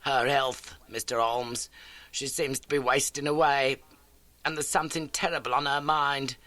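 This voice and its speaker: A man's voice: mid-range voice, steady tone, moderate pace, sharp tone, no echo, voice pushed up, natural voice. A man voicing an elderly, motherly working-class landlady in an audiobook, garrulous, earnest and folksy. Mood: anxious, confiding. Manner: chatty, folksy, dramatic storytelling. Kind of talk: audiobook character acting.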